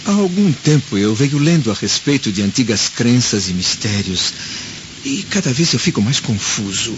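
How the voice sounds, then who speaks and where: A muffled recording with clear noise carries an actor as a brooding Roman senator, still fairly young. His mid-pitched voice is grave and dramatic, a searching, anguished father in a radio play. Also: sing-song, moderate pace, natural voice. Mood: brooding, questioning. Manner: grave, dramatic, rhetorical delivery.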